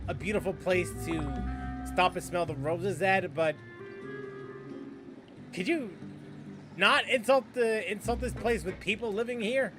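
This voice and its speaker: A man's voice: high-pitched, measured pace, long pauses, over music. A man hosting a let's-play, commenting on the game in a sarcastic, incredulous way. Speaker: gaming channel host.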